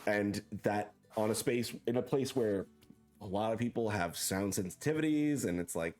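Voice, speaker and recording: Man, host, lowish voice, loud, jokey, self-deprecating, faint music behind. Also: normal speed. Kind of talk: casual interview chat.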